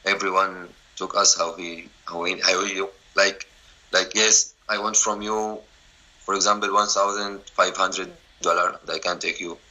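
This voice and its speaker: A man in his twenties from Syria with an Arabic accent, telling his story in halting, broken English full of restarts. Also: sits low, level tone, sharp tone, poor audio. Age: 20s. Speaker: interviewee. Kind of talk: personal story, casual.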